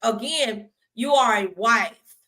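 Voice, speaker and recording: Brief: female American talk show host, fairly high voice, earnest and emphatic, conversational faith advice. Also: slow pace, bright, forceful tone, rough recording.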